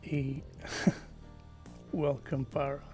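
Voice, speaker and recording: Portuguese-accented guy streaming, quiet hesitant chat, slow flat voice, lots of uhs. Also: mid-range voice, faint music behind. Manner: soft and hesitant, drops to whispers, many fillers. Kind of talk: quiet casual chat with viewers.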